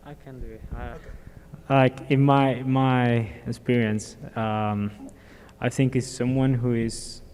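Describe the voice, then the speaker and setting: A man from Colombia speaking as a panelist at a conference, explaining his work plainly with some halting fillers. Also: medium pitch, little pitch movement, a little mellow. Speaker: panelist, applied scientist. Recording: hall reverb with faint ambient noise.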